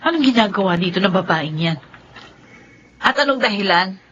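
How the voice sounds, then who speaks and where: A woman acting a jealous, suspicious, sharp-tongued wife in a Filipino radio drama, with a Filipino accent. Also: medium pitch, animated, normal speed, lightly acted. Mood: suspicious, biting. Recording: dull radio broadcast, faint noise.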